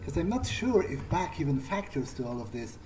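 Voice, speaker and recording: A man thinks aloud in character during a game. His voice is soft-edged and mellow, and his delivery is hesitant and reflective. There is a little background noise. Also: on the high side, sing-song, fairly quick. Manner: hesitant, thoughtful. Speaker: gamer playing in character. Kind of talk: in-character musing while playing a video game.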